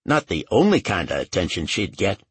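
Man voicing a brash, foul-mouthed streetwise woman, swooping pitch. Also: big pitch swings, a little fast, breathy edge, dry recording, full character voice. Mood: menacing, crude. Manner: slangy, profane, taunting.

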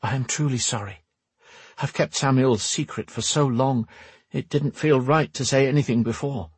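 A man plays an imperious princess in an audiobook, lifting his pitch for her. She speaks formally and with firm command, in a husky tone with a very dry sound. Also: normal speed, no echo, natural voice. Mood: apologetic, assured. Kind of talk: audiobook character dialogue.

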